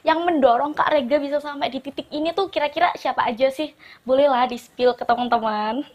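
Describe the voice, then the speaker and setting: High-voiced woman hosting a chat. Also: a little fast. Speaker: host, interviewer. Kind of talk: casual interview.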